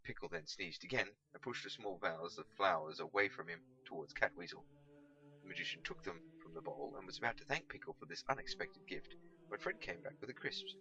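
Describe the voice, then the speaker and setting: A man reading a storybook aloud as scripted narration, in an expressive, dramatic manner. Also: medium pitch, brisk, gravelly, lo-fi sound. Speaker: amateur audiobook reader.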